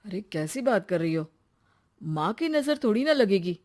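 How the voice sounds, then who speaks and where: A woman's voice: medium pitch, very animated, moderate pace, a little mellow, natural voice. A woman voicing a practical but short-tempered young husband in a cartoon story, with a blunt, exasperated manner. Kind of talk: Hindi cartoon story dialogue.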